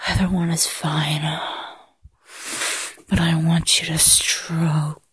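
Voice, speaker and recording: Slow, breathy whispering woman. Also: low voice, level tone, no echo. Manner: slow, teasing murmur with lingering pauses and sighs. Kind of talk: intimate erotic ASMR-style roleplay addressed to the listener.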